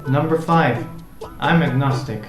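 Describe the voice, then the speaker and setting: A man's voice: medium pitch, a little slow. Male vlogger, 20s, Dutch accent, casual delivery, echoey room with guitar music underneath. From Netherlands. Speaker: vlog host. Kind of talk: casual chat to camera. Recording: roomy echo, guitar music behind.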